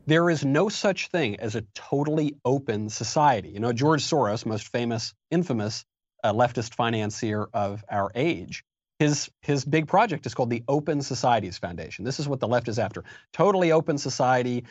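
A man speaking as a guest on a political talk show, emphatic and argumentative, often pushing his pitch up to land his points. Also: expressive, normal speed, no echo, muffled recording. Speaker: guest commentator.

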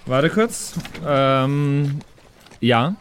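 A man's voice: mid-range voice, expressive, very slow, slight background noise. Casual man playing a tabletop game. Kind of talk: casual improvised role-play.

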